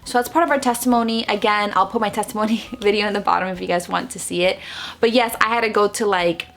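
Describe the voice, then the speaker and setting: A woman's voice: medium pitch, fairly even intonation, fast, gravelly, fairly bright tone. A woman whose first language is Spanish, chatting casually on camera from her bedroom, gesturing as she talks, with lots of fillers and restarts. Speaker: vlogger. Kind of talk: casual facts-about-me vlog. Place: bedroom at home, indoors. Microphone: camera close in front, seated.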